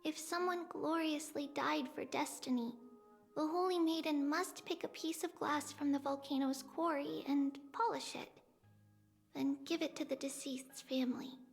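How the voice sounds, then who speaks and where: An ageless game demigod, gentle and wistful. The voice is high and creaky, with music playing behind it. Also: female voice, fairly even intonation, moderate pace. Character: ancient demigod woman recalling childhood, gentle and wistful. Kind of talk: scripted game voice acting. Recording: game dialogue over background music.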